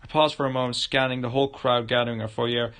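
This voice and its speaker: A man livestreaming a visual novel, reading the narration aloud in a halting way with stumbles and repeated words. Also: mid-range voice, little pitch movement, clear, resonant tone. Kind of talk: live narration of game text. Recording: loud music behind the voice. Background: game music.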